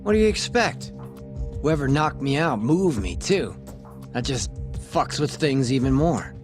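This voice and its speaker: A man's voice: medium pitch, animated, a little slow, music in the background. An actor playing a shady, sarcastic male police detective with a streetwise manner in an English video game dub. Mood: matter-of-fact, irritated. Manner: sarcastic, streetwise, foul-mouthed.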